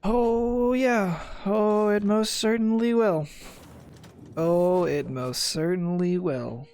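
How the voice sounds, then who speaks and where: Creaky-voiced sarcastic male game streamer. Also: high-pitched, fairly even intonation, slow, a little mellow, no echo. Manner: sarcastic, wisecracking. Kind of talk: casual playthrough commentary.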